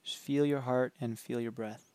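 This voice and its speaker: A man leading a guided meditation with calm, gentle, unhurried instructions. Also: medium pitch, smooth, dry recording.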